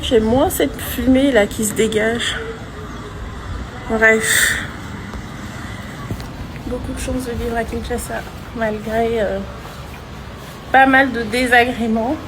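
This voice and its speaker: A woman chatting casually to her vlog audience, telling everyday stories in an animated, chatty way. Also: on the high side, noisy background. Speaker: vlogger and real estate agent. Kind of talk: casual vlog chat and storytelling.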